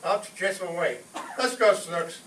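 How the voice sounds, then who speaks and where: A man's voice: high voice, animated, normal speed, fairly bright tone. A man playing a hot-headed, scheming father in an old-time radio comedy, pompous, indignant and theatrical. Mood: smug, plotting. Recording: roomy distant stage recording, faint noise.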